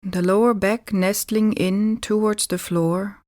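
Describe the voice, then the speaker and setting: A woman guiding a relaxation meditation as a yoga teacher, calm and deliberate, giving gentle instructions with pauses. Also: medium pitch, no echo, studio quality.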